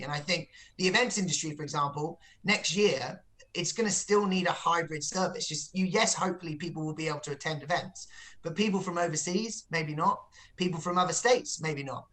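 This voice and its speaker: A man's voice: a little high, sharp tone. A man giving a business interview as a company executive, explaining things with frequent repeated words.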